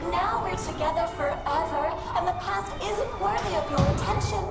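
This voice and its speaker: A woman's voice: extremely high pitch, moderate pace, over a noisy crowd. A woman voicing a lovesick, possessive and unstable female robot in a video game, coquettish and honeyed in her speech. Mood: sweet, possessive. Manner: coquettish, cooing with endearments. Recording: echoey voice under loud game music.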